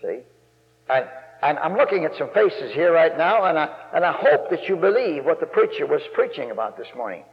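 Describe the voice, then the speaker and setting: A man with a gravelly bass voice, preaching a folksy, rambling sermon that rises to loud, emphatic stretches. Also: very animated, brisk. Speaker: guest preacher. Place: church sanctuary. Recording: muffled recording in a long-reverb church.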